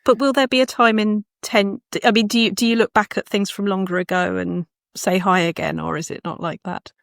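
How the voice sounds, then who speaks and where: A woman's voice: medium pitch, fairly even intonation, brisk, gravelly, dry recording, studio quality. A woman hosting a conversational interview, asking tentative, reflective questions that circle toward their point. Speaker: host, writing coach.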